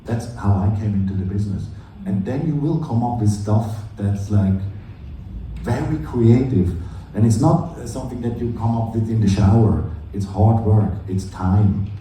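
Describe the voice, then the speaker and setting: A man speaking informally on a conference panel, earnest and halting, repeating points for emphasis. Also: on the low side, mellow. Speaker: conference panelist with copywriting background. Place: indoor conference room. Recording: echoey room, distant mic, faint noise.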